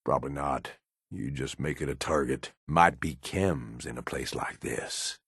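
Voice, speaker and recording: An actor voicing a gruff, cynical middle-aged American raider lieutenant in a video game, blunt and profane with a folksy, slangy drawl. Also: male voice, a bass voice, unhurried, fairly bright tone, no room sound, clean studio sound, exaggerated voice. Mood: wary, matter-of-fact. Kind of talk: video game companion dialogue.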